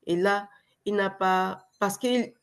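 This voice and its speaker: A woman's voice: mid-range voice, fairly even intonation, slow pace. A young adult woman with a cold, speaking hesitantly as a guest on a video call webinar, with many fillers and restarts. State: sick with a cold, voice affected. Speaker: webinar guest, former client. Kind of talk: casual testimonial on a video call.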